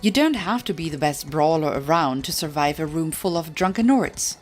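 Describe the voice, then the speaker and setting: A woman reading a scripted, humorous fantasy book aloud in a measured storytelling manner. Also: on the low side, slight background noise. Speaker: audiobook reader. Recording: music behind the reading. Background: background music.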